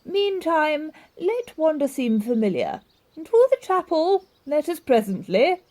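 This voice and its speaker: An actress playing a wise, calm friar with a measured, formal manner in a Shakespearean audio play. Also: female voice, high voice, heavily acted. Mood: assured, knowing. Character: wise, calm friar presiding over a wedding.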